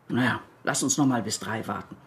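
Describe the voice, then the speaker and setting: Doubtful, wistful older wife. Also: female voice, rumbling low, a little fast, slight background noise. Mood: resigned, wistful. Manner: resigned, mildly reproachful. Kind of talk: naturalistic German radio drama.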